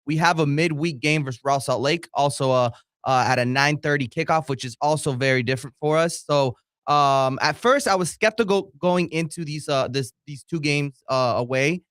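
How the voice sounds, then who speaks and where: Slightly high-voiced man talking sports, dry studio. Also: moderate pace, fairly bright tone, no room sound. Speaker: radio co-host and soccer commentator. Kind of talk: conversational sports talk. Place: radio studio.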